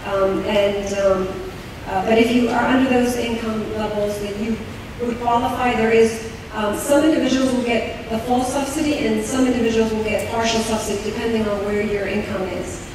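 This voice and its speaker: A woman's voice: on the high side, steady tone, normal speed. A woman explaining benefit rules at a town hall meeting, speaking hesitantly with many ums and restarts. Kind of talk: informal public talk with many fillers. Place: large echoey meeting hall. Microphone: room mic heard from a distance. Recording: echoey hall recording from far off with background noise.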